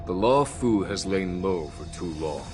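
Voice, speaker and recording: Shrewd male general, low voice, measured, unruffled, video game strategist, soft music behind. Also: lively intonation. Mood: composed. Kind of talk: scripted video game voice acting.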